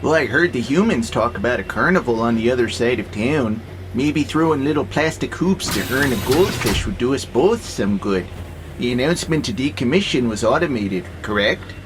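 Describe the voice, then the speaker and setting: Man as a wry, peaceable robot, expressive pitch. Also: moderate pace, slight background noise, natural voice, poor audio. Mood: persuasive. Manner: calmly persuasive, playfully sarcastic. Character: gentle, wry, philosophical male freight-hauling robot, peaceable. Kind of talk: audio drama acting.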